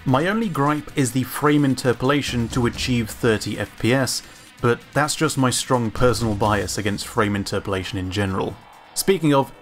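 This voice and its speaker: A man giving scripted analytical commentary as a video narrator, in an informal, measured, opinionated way. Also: medium pitch. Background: background music.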